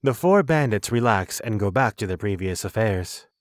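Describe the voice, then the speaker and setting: A man's voice: fairly low pitch, lively intonation, moderate pace, no echo, studio quality. A man reading scripted narration for a fantasy audio drama.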